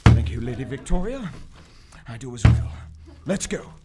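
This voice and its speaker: A woman playing a plucky, polite, excitable English adventurer in a live radio serial. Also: extremely low pitch, normal speed. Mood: determined. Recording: loud music under a live stage performance.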